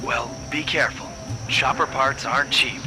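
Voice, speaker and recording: An actor playing a suave, supportive raccoon thief with a casual, wry delivery in a cartoon video game. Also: male voice, medium pitch, steady tone, moderate pace, natural voice, rough recording. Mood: easygoing. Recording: game music behind voice. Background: game music.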